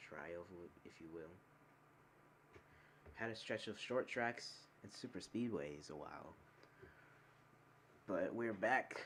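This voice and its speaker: A man with a deep, low voice commentates an online stock car race. He calls the action in quick bursts and corrects himself on the fly. A faint hiss of noise sits underneath. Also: fairly bright tone. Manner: rapid calls of on-track action, talking himself through corrections. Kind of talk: sim racing play-by-play commentary.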